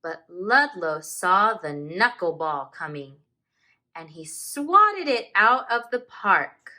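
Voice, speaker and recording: A woman teacher reading a picture book aloud to children, expressively and with deliberate dramatic emphasis. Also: medium pitch, sing-song, sharp tone.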